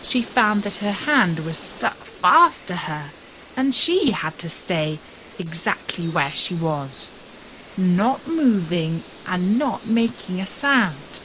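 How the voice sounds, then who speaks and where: Slow, lively woman storyteller over steady noise. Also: lowish voice. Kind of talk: scripted fairy tale narration for English learners. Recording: steady loud pink noise background.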